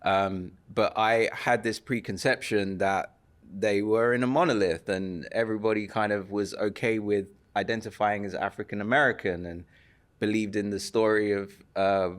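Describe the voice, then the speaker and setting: Low-voiced British man, measured and reflective. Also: fairly even intonation. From United Kingdom. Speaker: podcast guest. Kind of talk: casual podcast conversation.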